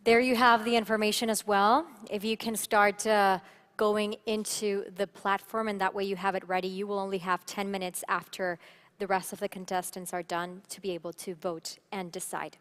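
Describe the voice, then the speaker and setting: A woman hosts a conference contest in a voice of average pitch. She gives practical announcements to those attending and introduces each next contestant. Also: flat delivery, moderate pace, fairly bright tone. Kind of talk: event announcements.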